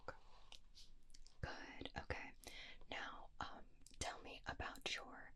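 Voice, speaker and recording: Woman acting a whispering, soothing werewolf doctor. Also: slow. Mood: gentle, clinical. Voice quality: breathy, whispered. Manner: soothing, hesitant, softly reassuring. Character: gentle, attentive female werewolf doctor examining a patient. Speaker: ASMR roleplay performer. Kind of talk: whispered ASMR medical roleplay. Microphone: close binaural microphone.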